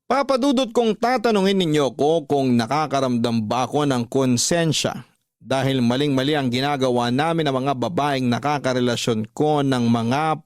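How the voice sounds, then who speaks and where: A man narrating a radio drama in the first person as an unrepentant womanizer, in measured, confessional storytelling. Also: medium pitch, no echo, studio quality, close to an everyday voice. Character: unrepentant womanizer confessing his affairs in a letter.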